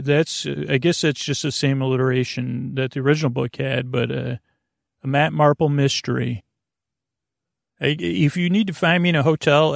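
A man's voice: mid-range voice, creaky, no echo, clean studio sound. A man hosting a bedtime podcast, rambling in a goofy, meandering way with many fillers and restarts. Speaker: podcast host.

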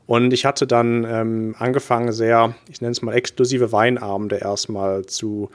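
Young male guest from England, mid pitch, earnest and halting with fillers. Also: flat delivery. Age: young adult. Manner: hesitant, many fillers, earnest. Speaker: guest, wine investment manager. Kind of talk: interview answers.

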